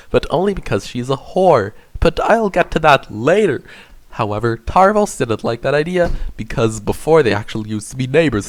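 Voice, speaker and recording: A man reading comedic fan fiction as narrator, in a melodramatic, emphatic, mock-serious way. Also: medium pitch.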